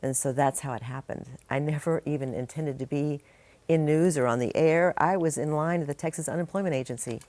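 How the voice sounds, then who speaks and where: Older female anchor, low voice, chatty. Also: fairly quick, darkish tone, no echo. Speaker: news anchor. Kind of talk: casual interview talk.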